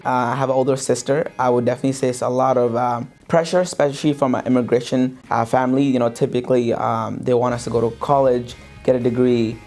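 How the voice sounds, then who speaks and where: Young Pakistan-born businessman, relaxed testimonial delivery over soft guitar, mid-range voice. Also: medium pitch. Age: young adult. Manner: relaxed, many fillers and restarts. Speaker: sales business owner. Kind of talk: interview testimonial. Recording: faint guitar music underneath.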